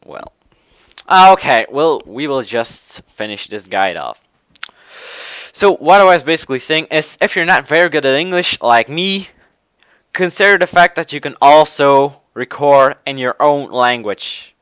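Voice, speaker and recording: A young man from Belgium, a native Dutch speaker with a Belgian Dutch accent, giving gaming video tips in a casual, halting way with many restarts. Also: on the high side, lively intonation, fairly bright tone, smooth, dry recording. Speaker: gaming channel host. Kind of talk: casual tutorial commentary.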